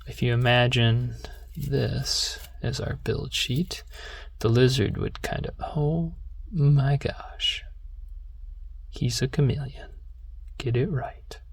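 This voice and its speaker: Hushed, slow ASMR voice with creaky edges. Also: medium pitch, fairly even intonation. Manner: hushed, gentle, unhurried, drifting into whisper. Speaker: ASMR video creator and host. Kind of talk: soft-spoken ASMR show-and-tell.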